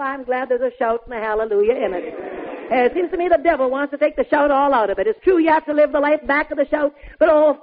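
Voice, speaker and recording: High-pitched woman preacher, tinny old recording. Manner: declamatory, dramatic, with drawn-out emphatic words. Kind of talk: theatrical revival sermon. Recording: tinny, band-limited vintage recording.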